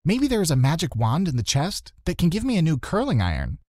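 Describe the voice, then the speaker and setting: A man's voice: on the high side, huge pitch swings, a little fast, a little mellow, dry recording, studio quality, voice pushed up. A man voicing a bossy, snide young girl in a children's storybook, with a sneering, commanding manner.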